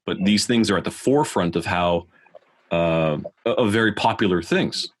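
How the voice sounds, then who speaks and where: A man's voice: low-pitched, moderate pace. A man co-hosting a podcast, asking long, rambling questions and often repeating words. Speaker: podcast co-host. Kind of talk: casual chat.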